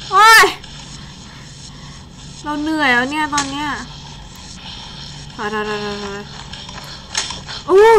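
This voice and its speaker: A young woman giving casual, excitable gameplay commentary, full of exclamations and muttered reactions as she plays. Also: sits high, slow, pauses often, background noise. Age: young adult. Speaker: gaming show host.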